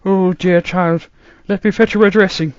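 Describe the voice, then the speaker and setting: A man's voice: high voice, steady tone, normal speed, no echo, lo-fi sound, voice pushed up, natural voice. A man doing a fussy old grandfather doctor. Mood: worried. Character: elderly male time-travelling doctor, fussy, grandfatherly.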